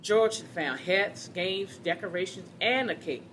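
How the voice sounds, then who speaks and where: A woman's voice: lowish voice, unhurried, fairly bright tone, slight background noise. A woman reading a children's story aloud, expressively, addressing her young listeners directly.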